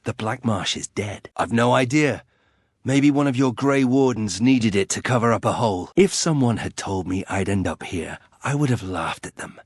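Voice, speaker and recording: A man's voice: fairly low pitch, animated, normal speed, husky, dry recording. An actor playing a bitter, proud young nobleman turned warrior in a fantasy game, with a dry, sardonic, clipped delivery. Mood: dry, wry. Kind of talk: fantasy video game voice acting.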